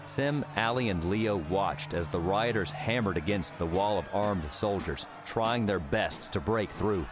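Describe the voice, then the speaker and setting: A man's voice: deep voice, unhurried, hardly any pauses. A man narrating a fiction audiobook in a dramatic, scripted style. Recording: background music under the voice.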